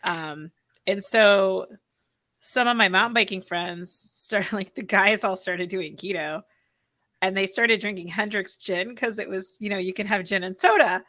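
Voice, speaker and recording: A woman's voice: medium pitch, a little slow, sharp tone, no echo. A woman hosting a podcast, chatting in a breezy, casual way. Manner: breezy and casual, says "dude" a lot. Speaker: podcast host. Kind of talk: casual chat.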